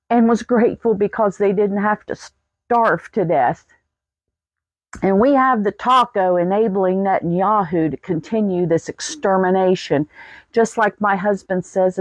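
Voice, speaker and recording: A woman's voice: medium pitch, darkish tone, no echo, poor audio. An American woman in her sixties telling a personal story in a folksy, plain-spoken way. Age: 60s. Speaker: vlogger.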